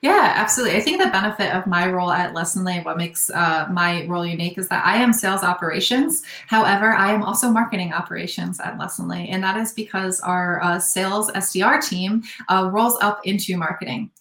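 A woman's voice: fairly low pitch, brisk, few pauses, fairly bright tone, echoey room. A young adult woman talking as a podcast guest, explaining her work thoughtfully with frequent fillers. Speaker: podcast guest, sales operations analyst. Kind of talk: casual interview talk.

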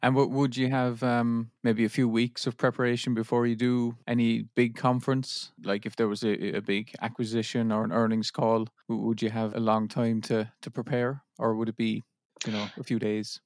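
A man with a medium-pitched voice co-hosts a podcast interview. He asks his questions casually, recorded with very little room sound. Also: level tone, normal speed, few pauses, no echo, clean studio sound. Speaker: podcast co-host.

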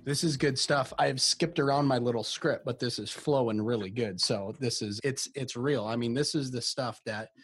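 A man hosting a business podcast, talking in a casual, animated way. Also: medium pitch, normal speed, hardly any pauses, slightly rough. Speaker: podcast host.

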